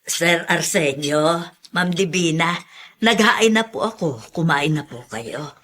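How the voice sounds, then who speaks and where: A woman's voice: sits low, slow pace, a bit bright, full character voice. An actress playing a devoted, anxious nanny in a radio drama, respectful and deferential to her employers. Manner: respectful, pleading.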